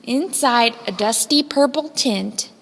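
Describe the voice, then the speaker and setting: High-voiced woman reading a storybook, reverberant. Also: creaky, slight background noise. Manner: expressive, deliberate phrasing. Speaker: storybook reader. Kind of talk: scripted children's story narration. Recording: long hall-like reverb.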